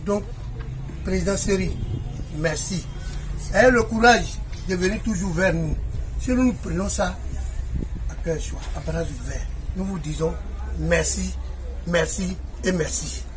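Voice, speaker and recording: High-voiced man over street noise. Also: a little slow, long pauses. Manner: proverb-quoting, effusive. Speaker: community representative. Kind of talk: thank-you speech at a gathering. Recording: distant mic with loud street noise.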